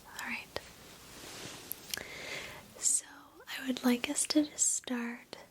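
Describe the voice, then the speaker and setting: Woman whispering a very slow guided meditation. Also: fairly high pitch, steady tone, poor audio. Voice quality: soft, breathy whisper. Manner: gentle, soothing, reassuring. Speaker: ASMR host guiding a meditation. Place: indoors, sitting on a bed.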